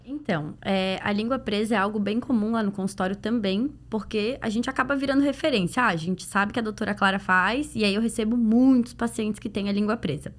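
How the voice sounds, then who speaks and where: A woman's voice: mid-range voice, brisk, few pauses. A woman with a Brazilian Portuguese accent answering in a podcast interview as a clinician guest, explaining things conversationally with illustrative examples. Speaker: guest, pediatric dental clinician.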